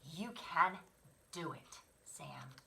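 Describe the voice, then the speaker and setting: A calm, encouraging mama bear from a children's storybook, voiced by a woman. She speaks slowly and a little low, with reassuring gentleness. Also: gravelly, background noise, exaggerated voice.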